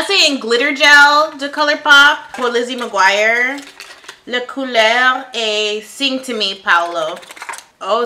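A young woman from Canada, a native English speaker, talks through her makeup in slow, halting French, often stopping to hunt for a word. Her voice sits fairly high and rings very bright. She is recorded close to the camera in a small, bright room. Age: young adult. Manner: halting, searching for words, laughing at herself. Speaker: beauty vlogger. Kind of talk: casual makeup tutorial chat.

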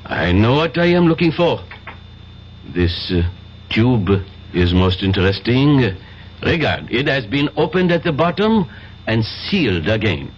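A French detective in a 1970s radio drama, courteous and methodical. His speech is slow and full of pauses, with very animated pitch. The old recording is muffled. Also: slight background noise. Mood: calm, authoritative. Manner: polite, deliberate, hesitant pauses.

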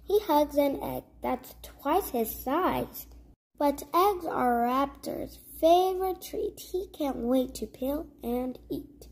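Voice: high-pitched, slow, darkish tone. A child reading a rhyming picture book aloud as a story-time host, carefully and word by word.

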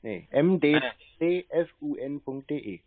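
A man's voice: fairly high pitch, expressive, measured pace, no echo. Male, upper-register voice, thin telephone sound, unfussy and patient in casual conversation. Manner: patient, matter-of-fact, spells things out. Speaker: prank caller posing as a customer to phone scammers. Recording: narrow tinny phone-like line.

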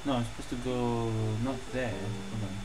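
A man's voice: medium pitch, normal speed, poor audio. A man giving casual gameplay commentary as a streamer, hesitantly thinking aloud and muttering to himself. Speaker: gaming streamer. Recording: loud game music under voice. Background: loud game music.

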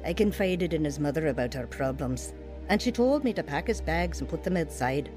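A woman's voice: deep voice, animated, brisk, over music. An actress playing a troubled, heartfelt young wife who tells her life story in a scripted radio drama, with a sincere manner. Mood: reflective. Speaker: actor narrating a radio drama. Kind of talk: scripted first-person dramatized testimony.

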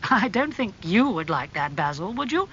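A narrator playing a suave, amused young aristocratic gentleman in a dramatised audiobook. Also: mid-range voice, sing-song, moderate pace, dull audio, slight background noise, pitched above the performer's own voice. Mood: smooth amusement.

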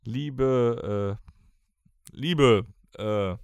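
A man co-hosting a podcast in casual, halting, teasing banter, close to the microphone. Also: medium pitch, gravelly, darkish tone, smooth, dry recording. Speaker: podcast co-host. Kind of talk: casual banter, movie-title word game.

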